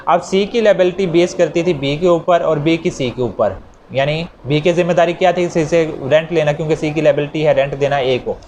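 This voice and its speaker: A man's voice: a little high, normal speed, darkish tone. A man with a Pakistani accent giving an explanatory law lecture, repeating and rephrasing points with worked examples for students. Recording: noticeable background noise.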